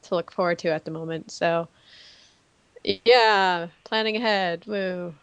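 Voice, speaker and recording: A woman in a casual group chat, answering in a halting, unsure way. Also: on the low side, fairly bright tone, clean tone, dry recording.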